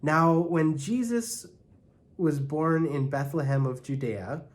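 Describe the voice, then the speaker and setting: A man voicing a patient, earnest mother in a storybook, who explains and reads scripture in a measured way. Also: on the high side. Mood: solemn reading. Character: patient, earnest mother directing a children's pageant.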